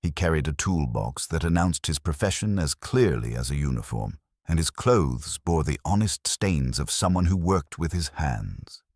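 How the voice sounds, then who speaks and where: Guy reading a detective novel, super low voice, bit husky, formal and measured. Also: lively intonation, no room sound, studio quality. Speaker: narrator. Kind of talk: scripted detective audiobook narration.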